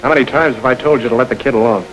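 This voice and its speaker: A man playing a calm, commanding gang boss. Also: medium pitch, racing, dull audio, soft background music, natural voice. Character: smooth, calculating middle-aged gang boss. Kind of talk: 1930s crime film acting.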